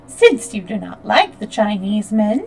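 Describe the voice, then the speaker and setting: A woman reading the lines of a bold, mischievous young actress, bright and teasing. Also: medium pitch, sing-song, measured pace, vocal fry, slight background noise. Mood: teasing, defiant. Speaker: audiobook reader. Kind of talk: read-aloud fiction dialogue.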